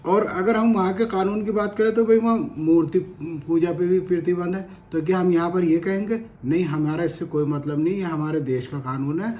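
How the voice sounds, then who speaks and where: A man speaks in a fairly high-pitched voice. He delivers opinion commentary on current affairs, earnest and argumentative. He often restates a phrase to drive it home. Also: steady tone, moderate pace, hardly any pauses, a little mellow. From India. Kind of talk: spoken opinion commentary to camera.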